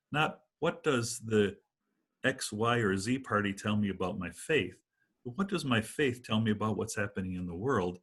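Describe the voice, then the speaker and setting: An older man in his sixties, a priest, speaks on a video call from home. His voice is a little husky and lowish. He talks in a reflective, considered way. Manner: thoughtful, reflective. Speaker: priest guest. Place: home room. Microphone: video call webcam.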